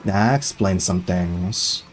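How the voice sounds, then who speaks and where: A young man chatting casually on a live gaming stream, excitable and loose, full of fillers and slang, swearing freely. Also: low voice, slow pace, faint music behind.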